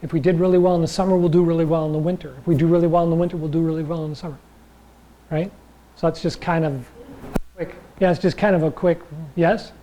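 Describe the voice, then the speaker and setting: A man teaching a chi gung and tai chi class in a loose, rambling way, with a slightly raspy voice and frequent check-ins with his listeners. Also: a little high, fairly even intonation, fast, warm tone, a little hiss or hum, rough recording. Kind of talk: casual class talk.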